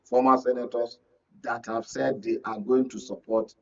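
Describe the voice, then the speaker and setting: A man's voice: medium pitch, little pitch movement, moderate pace, vocal fry, a little mellow. A Nigerian man giving emphatic political analysis in a discussion, repeating points and checking that the listener follows. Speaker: media analyst and guest. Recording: muffled, distant mic, lo-fi sound.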